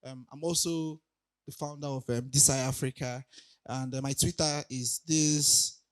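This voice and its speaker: A young man with a raspy voice from recent illness, giving a casual conference talk full of hesitations. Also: mid-range voice, fairly even intonation, unhurried. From Nigeria. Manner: hesitant, many ums and restarts, laughs lightly. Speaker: conference speaker. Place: conference hall.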